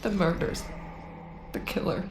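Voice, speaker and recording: An actress playing a desperate, tormented woman fighting for control, halting and pleading, in a cinematic video game. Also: noisy background, natural voice. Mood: distressed, straining. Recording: roomy, echoing, music behind.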